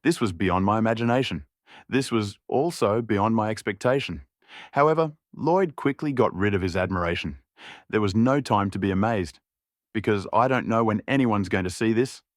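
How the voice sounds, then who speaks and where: A man's voice: fairly low pitch, moderate pace, no room sound, studio quality. A man narrating a scripted fiction audiobook.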